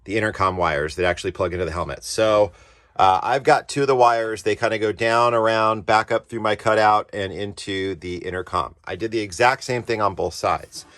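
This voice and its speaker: A man's voice: on the low side, normal speed, a bit bright. Casual man explaining a hands-on install. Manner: informal, explanatory, addressing viewers as guys. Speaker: DIY off-road channel host.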